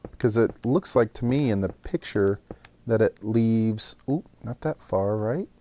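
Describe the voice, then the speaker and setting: A man's voice: lowish voice, a little slow, mellow. A man streaming a building game live, thinking aloud and chatting with viewers. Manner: thinks aloud while building, talks to chat. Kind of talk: casual live gameplay chat.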